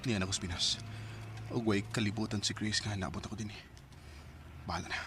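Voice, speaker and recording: A man's voice: on the low side, fairly even intonation, a little fast, background noise, lightly acted. A man acting a hurt, devoted young man in love in an emotional radio drama, earnest and intense. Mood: reflective, sorrowful.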